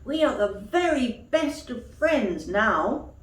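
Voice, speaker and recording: Woman reading as an eager little boy, very animated. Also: fairly high pitch, slow pace, echoey room, pitched above the performer's own voice, full character voice, rough recording. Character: young boy, affectionate and attached to toys.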